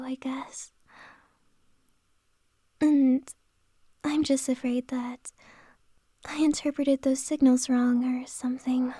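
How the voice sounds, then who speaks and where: A woman's voice: sits high, slightly rough, no echo, clean studio sound, exaggerated voice. A woman playing a whispering, flustered lady boss. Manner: soft whispering, hesitant and halting. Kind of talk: ASMR roleplay.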